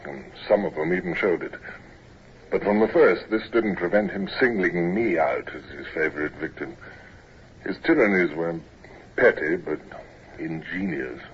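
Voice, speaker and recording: An actor playing a naval officer who narrates his miserable youth in a measured, reflective way, in a 1950s radio drama. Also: deep voice, natural voice. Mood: grave, reflective. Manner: measured, reflective, grave storytelling. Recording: muffled, noisy vintage radio recording.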